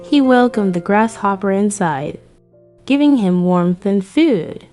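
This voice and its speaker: A woman's voice: medium pitch, animated, measured pace, warm tone, faint music behind. A woman narrating a scripted fairy tale for children as a storyteller.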